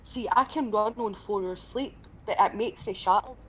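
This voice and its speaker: A Scottish woman in her twenties talks casually as a co-host on a gaming stream. She is blunt, opinionated and sweary, with a mid-pitched voice over light background noise. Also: normal speed, rough recording. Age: 20s.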